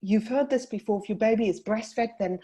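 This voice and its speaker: A woman with a South African accent, a paediatric dietitian presenting a webinar and advising parents in a brisk, explanatory way over a computer mic. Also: a little high, mellow.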